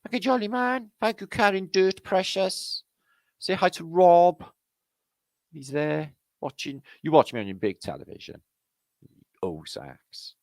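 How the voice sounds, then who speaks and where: A man's voice: high voice, expressive, measured pace, darkish tone, dry recording, studio quality. A middle-aged Welsh man hosting a casual livestream, rambling and swearing as he greets viewers in the chat. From Wales. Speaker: livestream host. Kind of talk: casual live-chat banter.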